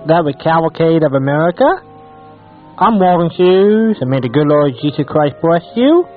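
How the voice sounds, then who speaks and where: A man's voice: a little high, animated, normal speed. A man hosting a radio show, giving a sign-off on a narrow-sounding broadcast. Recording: narrow radio sound under loud music. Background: loud music.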